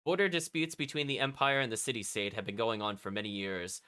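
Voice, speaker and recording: A man's voice: fairly low pitch, fairly even intonation, brisk, bright, forceful tone, clean tone. A man streaming a video game, reading its text aloud and chatting with casual asides to his viewers. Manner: chatty, often commenting as an aside.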